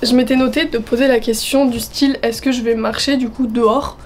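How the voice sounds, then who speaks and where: A woman's voice: fairly high pitch, steady tone, a little fast, slight background noise. A young woman in her twenties chatting in a casual vlog, her voice worn from a chest cold, upbeat and full of fillers. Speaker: vlogger. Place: at home, partly out on a terrace.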